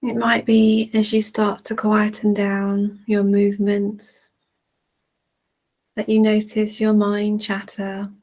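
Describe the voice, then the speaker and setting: A woman leading a guided relaxation in a soothing, gentle manner with long, unhurried pauses. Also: medium pitch. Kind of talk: guided relaxation, meditation narration.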